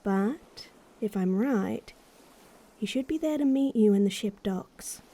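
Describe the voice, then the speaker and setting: A woman playing a loyal, calm noblewoman advisor in an audio drama, with dry, teasing understatement. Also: medium pitch, takes it slowly. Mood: measured.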